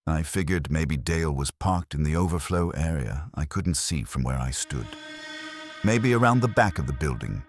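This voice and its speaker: A man's voice: extremely low pitch, husky, dry recording, studio quality. A man giving a scripted first-person horror narration in a grave, suspenseful storytelling manner. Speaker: narrator of horror stories, posing as a trucker.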